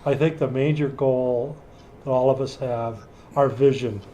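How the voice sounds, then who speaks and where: A man's voice: mid-range voice, fairly even intonation, unhurried, warm tone, lo-fi sound, slight background noise. A man serving as county commissioner, speaking plainly at a public meeting, repeating words as he goes.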